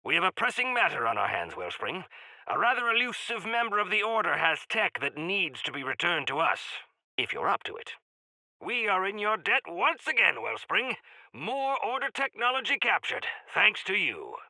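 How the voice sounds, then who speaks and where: Spry, gravelly old rebel elder, wildly animated. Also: male voice, sits high, moderate pace, no echo, exaggerated voice, low-quality recording. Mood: formal, sly. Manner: grandiloquent, animated, mischievous. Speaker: video game voice actor. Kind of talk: theatrical video game character dialogue.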